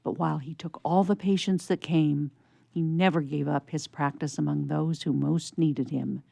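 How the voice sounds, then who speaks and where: A woman narrating a scripted story for radio theatre in a measured storytelling manner. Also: low-pitched, fairly even intonation, gravelly, dark tone, no echo.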